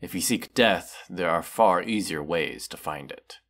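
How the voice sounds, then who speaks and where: A man's voice: low-pitched, fairly bright tone. A man playing a proud, menacing, weary dragon who distrusts humans, talking haughtily in a fantasy audio roleplay. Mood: haughty, restrained. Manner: slow, deliberate, haughty, with long pauses. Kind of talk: scripted audio roleplay monologue.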